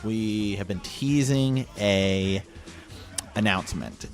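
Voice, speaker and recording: A man's voice: lowish voice, slow. A man hosting a podcast, chatting casually as he makes an announcement with a co-host.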